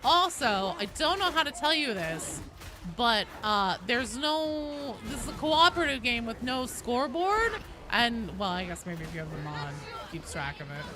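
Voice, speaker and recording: A woman's voice: fairly high pitch, very animated, moderate pace, noisy background. A woman chatting casually and swearing freely while she live streams a game. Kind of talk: casual live gaming chat.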